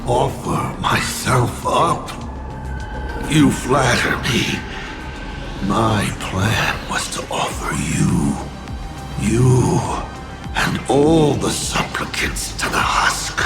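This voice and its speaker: A man voicing a proud, theatrical and ancient scheming villain in a video game, speaking in a grandiose, deliberate, gravelly way. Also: medium pitch, lively intonation. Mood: mocking. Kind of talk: dramatic video game voice acting. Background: music.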